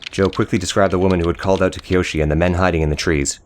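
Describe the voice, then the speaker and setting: A man's voice: sits low, steady tone, racing, a little hiss or hum. A man reading scripted, dramatic audiobook narration.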